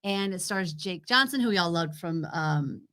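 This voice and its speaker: A woman's voice: fairly low pitch, animated, moderate pace, bright, forceful tone. A woman co-hosting a TV review show, chatting casually and giving her opinions in an informal, teasing way. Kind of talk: casual banter and TV commentary.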